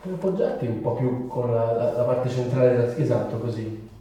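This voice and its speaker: Give me a very mellow man in echo. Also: medium pitch, animated, brisk, slight background noise. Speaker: giving posing directions. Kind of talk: casual spoken instructions. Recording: very echoey room, distant mic.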